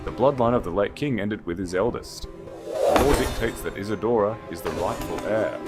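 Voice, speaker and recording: A man's voice: lowish voice, moderate pace, loud music behind the voice, lightly acted. A man voicing a cowardly, desperate lord regent who declaims legal claims in a pleading, pompous way for an audiobook.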